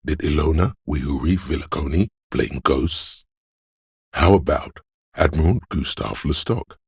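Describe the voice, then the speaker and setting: Very deep gravelly slick hustler. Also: male voice, animated, a little slow, a little mellow, dry recording, clean studio sound, exaggerated voice. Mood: scolding. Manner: cajoling, flamboyant, streetwise swagger. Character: slick money-obsessed male inner voice, hustler hype man. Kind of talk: theatrical video game character monologue.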